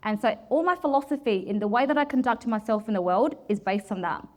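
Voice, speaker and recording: A woman in her forties addresses a room in a loud, direct manner. Her voice sits a little high, and she presses her points with passion. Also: quick pace. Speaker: project manager giving a talk. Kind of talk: presentation talk with Q and A.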